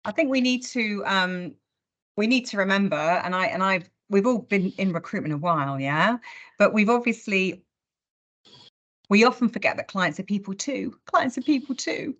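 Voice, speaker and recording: A woman's voice: mid-range voice, lively intonation, normal speed, fairly bright tone. A woman hosting a casual business conversation in a chatty way with wry humour. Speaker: host of a recruitment industry discussion.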